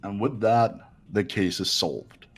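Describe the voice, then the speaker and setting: A man voicing an arrogant, coldly aloof young man in a fan game dub, dismissive and deliberate. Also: on the low side, slightly rough, heavily acted. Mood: smug, bored. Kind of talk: fan-dubbed visual novel acting.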